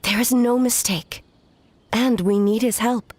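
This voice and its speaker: A woman playing a regal, composed ruler in a video game, speaking in a formal, measured and assured way. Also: fairly high pitch, steady tone, no room sound. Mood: solemn, certain.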